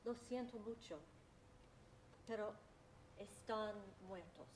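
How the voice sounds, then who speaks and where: Woman as slow, formal small-town mayor. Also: medium pitch, darkish tone, reverberant, lightly acted, low-quality recording. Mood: grave, apologetic. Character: apologetic small-town Mexican mayor bearing grave news. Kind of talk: staged reading dialogue in Spanish. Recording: noticeable background noise.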